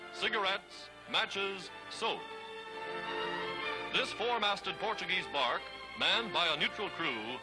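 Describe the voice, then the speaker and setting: High-pitched 1940s American newsreel man. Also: poor audio. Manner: emphatic, dramatic, declamatory. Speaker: newsreel narrator. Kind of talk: 1940s newsreel scripted narration. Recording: 1940s newsreel soundtrack under loud music. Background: loud music.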